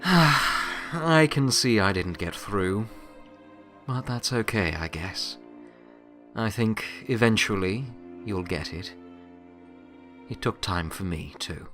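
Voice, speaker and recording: Audio story role: man as ancient former Death, weary and wry, unhurried halting delivery, reassuring. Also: on the low side, animated, bright, forceful tone, faint music behind, heavily acted. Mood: resigned, gentle. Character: Blanche, centuries-old retired pony Death, gentle, wry, weary. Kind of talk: dramatic audiobook character acting.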